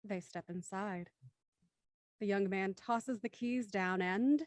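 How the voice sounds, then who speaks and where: A woman narrating a radio drama in a measured, suspenseful way. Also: medium pitch, no room sound, clean studio sound.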